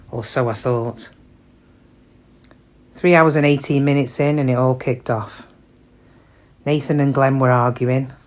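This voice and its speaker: A woman's voice: very deep voice, a little mellow. A middle-aged woman playing a downtrodden shop cashier in a reflective, confiding, plain-spoken dramatic monologue about her regrets. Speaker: actor in a monologue. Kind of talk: first-person dramatic monologue.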